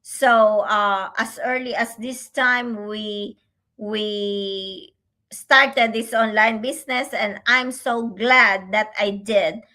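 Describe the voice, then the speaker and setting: A woman who is not a native English speaker, co-hosting a casual livestream chat, talking slowly with many fillers and pauses. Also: mid-range voice, fairly even intonation, sharp tone. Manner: slow, halting, many fillers. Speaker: co-host.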